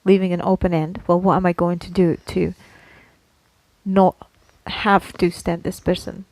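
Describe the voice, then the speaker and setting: A woman's voice: fairly low pitch, little pitch movement, unhurried, darkish tone, dry recording, poor audio. A woman co-hosting a casual podcast, explaining her points in a playfully argumentative way. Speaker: podcast co-host. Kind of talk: casual conversational podcast talk.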